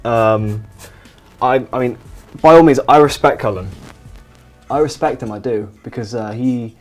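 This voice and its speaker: A man hosting a painting show in a deadpan, mock documentary style, talking in a soft, relaxing low voice while rambling evasively and defensively. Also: measured pace, soft background music.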